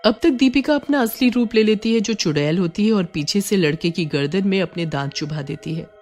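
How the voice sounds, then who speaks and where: A woman's voice: mid-range voice, fairly quick, a little mellow. A woman reading scripted horror story narration as the narrator.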